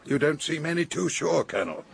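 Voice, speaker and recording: An actor playing a wealthy, strong-willed older English gentleman in a radio drama, speaking bluntly and formally. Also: on the high side, big pitch swings, a little fast, vocal fry, a little hiss or hum. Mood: firm, defiant.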